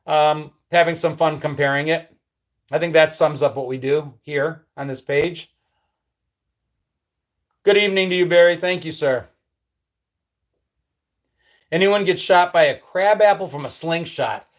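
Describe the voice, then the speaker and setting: A middle-aged man from upstate New York chats casually on his live stream, reading out viewer comments and answering them. His voice sits a little high for a man, and his easygoing, nostalgic manner drifts into soft, trailing endings. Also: unhurried, pauses often. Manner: nostalgic, easygoing, with frequent ums and trailing drops. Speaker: livestream host. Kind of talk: casual live-chat reminiscing.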